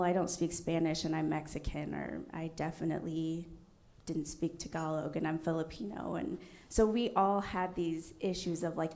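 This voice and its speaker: A woman's voice: lowish voice, a little mellow. A woman speaking on a panel, earnestly reflecting on her student years. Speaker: alumni panelist. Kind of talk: panel talk at a keynote.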